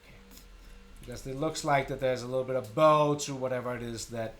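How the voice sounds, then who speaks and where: A middle-aged man from Denmark with a Danish accent, hosting a casual live software tutorial, thinking aloud in an informal, self-deprecating way. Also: mid-range voice, fairly even intonation, fairly quick, soft background music. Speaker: tutorial live stream host.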